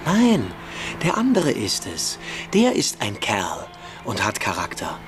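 A man's voice: on the low side, wildly swooping pitch, takes it slowly, over music, full character voice. A man playing a precocious schoolboy freethinker in a German radio play, emphatic, scornful and persuasive. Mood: mocking, insistent. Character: precocious, provocative schoolboy freethinker lecturing his younger friend.

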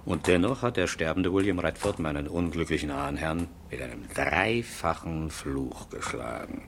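An actor playing a genteel, chummy English lord of a castle in a 1970s German radio crime drama, courtly and effusive, with knowing hums. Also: male voice, a bass voice, moderate pace, vocal fry. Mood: relishing, ominous. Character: genteel English castle lord, chummy, business-minded, relishing his family curse. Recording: 1970s radio production with faint background noise.

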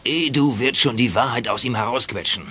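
A man's voice: mid-range voice, brisk, lightly acted. A man playing a surly, scornful and bossy crook in a children's radio play. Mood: smug. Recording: noisy background.

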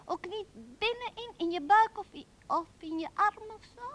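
A woman's voice: extremely high pitch, normal speed, a bit bright. An actress voicing a small, earnest girl, indignant and fanciful, in a childlike piping manner for a radio play. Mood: curious.

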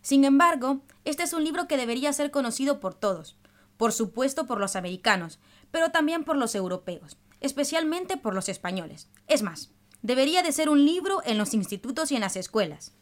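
A woman with a fairly high voice presents on the radio. She explains in a teacherly manner, as if working from a prepared script. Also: expressive, fairly quick. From El Salvador. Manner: explanatory, didactic. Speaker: radio programme host.

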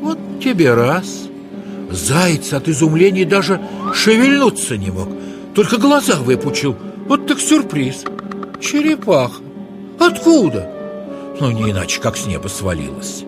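A man narrating a children's story in a dramatic, expressive, exclamatory style. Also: on the high side, measured pace, over music.

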